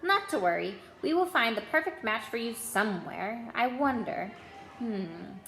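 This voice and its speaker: A woman's voice: on the high side, animated, a little slow, creaky, a bit bright, a little hiss or hum, full character voice. Woman acting an eccentric old wandmaker, musing, in an echoey room. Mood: eager, musing. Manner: muttering, musing, repeats words to himself. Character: eccentric old male wand seller, eager and eerie.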